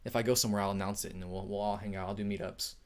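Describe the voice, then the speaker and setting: Casual male streamer answering chat questions, energetic and friendly, often laughing. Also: fairly low pitch, racing. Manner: upbeat, chatty, laughs often. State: overheated. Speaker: tech YouTuber hosting a live Q&A.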